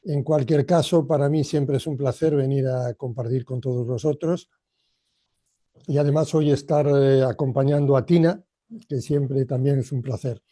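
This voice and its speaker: A man's voice: mid-range voice, fairly even intonation, moderate pace, dark tone, no echo. A man gives a motivational training talk in a reflective, personal way, addressing listeners directly. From Argentina, emigrated to Spain as a boy.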